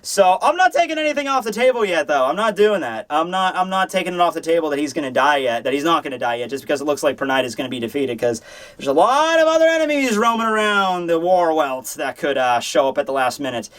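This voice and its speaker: A man hosting a manga review, talking in a casual, opinionated, rambling and animated way with casual swearing. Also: on the high side, fast talker. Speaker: host of a manga review show.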